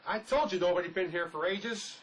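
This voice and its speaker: A man's voice: high voice, fairly quick, slight background noise, close to an everyday voice, poor audio. A man acting as a laid-back, resourceful escaped convict in a drama, speaking in a dry, folksy, matter-of-fact way. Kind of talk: dramatic script performance.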